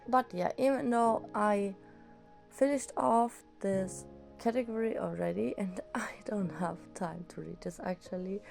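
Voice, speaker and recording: A young woman, slightly hoarse after an illness, rambling enthusiastically as she reviews manga in a casual vlog. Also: medium pitch, a little slow, a little mellow, soft background music. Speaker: manga vlogger. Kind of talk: casual book review chat.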